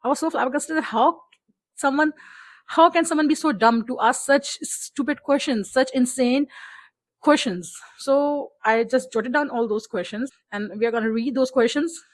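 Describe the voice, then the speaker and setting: Animated Pakistani woman vlogging. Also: sits high, normal speed, low-quality recording. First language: Urdu. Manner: exasperated, animated, laughs often. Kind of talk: casual vlog chat to camera. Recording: music playing faintly behind.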